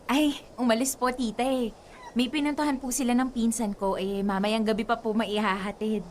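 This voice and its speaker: A woman's voice: fairly high pitch, steady tone, normal speed, slight background noise, close to an everyday voice. A woman with a Filipino accent playing a superstitious, stubborn young bride-to-be in a radio drama, scolding and insistent. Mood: polite.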